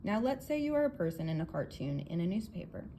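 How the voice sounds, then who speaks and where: A woman's voice: on the low side, sing-song, fast, dark tone. A woman reads as an earnest storybook inventor, a confident man explaining himself to guests. The delivery is emphatic and theatrical, lifted above the narration, with some noise behind it. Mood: patient, insistent. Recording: clear background noise.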